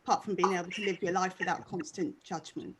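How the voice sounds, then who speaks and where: A woman's voice: on the low side, steady tone, fast, a crowd in the background. A middle-aged woman doing a stand-up set with self-deprecating, wry delivery. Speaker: stand-up comedian.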